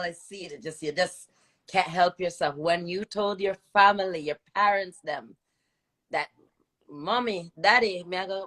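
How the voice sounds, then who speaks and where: A woman's voice: lowish voice, a bit bright. A middle-aged woman, interviewing casually on a livestream and slipping into patois. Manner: chatty, slips into patois. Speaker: interviewer and live show host. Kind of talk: casual live interview chat. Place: livestream video call.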